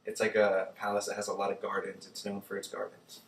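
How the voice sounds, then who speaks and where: A young man from Germany, a native German speaker, casually telling his life story in an interview, relaxed and full of fillers like "like" and "uh". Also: lowish voice, a little fast, creaky, roomy sound, distant mic, slight background noise. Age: young adult.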